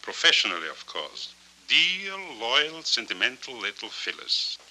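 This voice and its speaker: An actor in a 1940s film noir playing a cynical, fallen small-time swindler with formal, theatrical, silkily courteous speech. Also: male voice, mid-range voice, huge pitch swings, a little slow, bright, forceful tone, exaggerated voice, rough recording. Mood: silky, wry. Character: florid, cynical small-time chiseler, fallen grand scoundrel. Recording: hissy 1940s film soundtrack.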